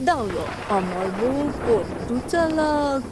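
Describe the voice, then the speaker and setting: A hungry, henpecked cartoon husband crow, voiced by a woman, with a very high voice. He speaks slowly, in plaintive, drawn-out exclamations, over clear background noise. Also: lively intonation. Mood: flattering. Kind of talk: children's cartoon fairy-tale acting.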